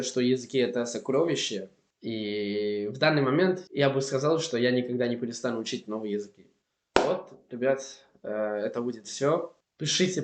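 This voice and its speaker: A man's voice: mid-range voice, echoey room. A young man from Spain with a Spanish accent, speaking Russian as a learner in an earnest, encouraging vlog. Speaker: language learning vlogger. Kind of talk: casual vlog talk to camera.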